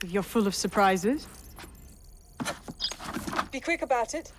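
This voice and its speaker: A woman playing a no-nonsense resistance leader in a video game, with a brisk, authoritative manner. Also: a little high, animated, noisy background. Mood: brisk, dry.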